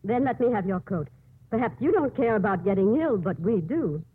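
A woman playing a kind, patient but persistent nursing nun in a 1950s radio drama, with a husky voice. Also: mid-range voice, expressive, brisk. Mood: gently caring. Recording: muffled phone-like old radio broadcast.

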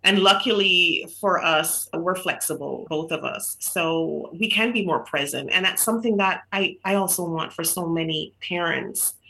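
Bright-voiced middle-aged woman from Jamaica. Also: fairly low pitch, moderate pace, creaky, hardly any pauses, poor audio. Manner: chatty, warm storytelling with frequent fillers and restarts. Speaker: guest author. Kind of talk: casual podcast interview.

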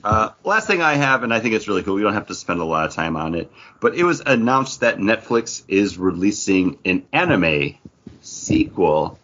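A man co-hosting a podcast, chatting in a relaxed way and sharing news items. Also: deep voice, a little hiss or hum. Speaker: podcast co-host. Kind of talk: casual chat. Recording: dull lo-fi sound.

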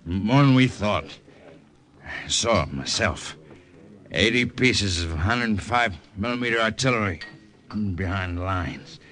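An actor playing a wounded, drunken army lieutenant who speaks in slurred, halting bursts in a 1940s radio drama. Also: male voice, lowish voice, fairly bright tone. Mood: faltering. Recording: faint music under voice.

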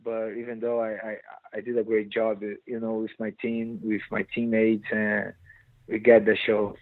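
A Brazilian man with a Portuguese accent, a guest speaking halting non-native English with many restarts and "you know". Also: on the low side, little pitch movement, no echo, phone-quality sound. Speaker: guest fighter. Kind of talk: casual interview chat.

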